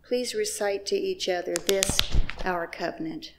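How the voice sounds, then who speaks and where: A woman reads liturgy aloud as a worship associate. Her delivery is measured and solemn, very slow, with noticeable vocal fry in a mid-pitched voice. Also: steady tone, noisy background.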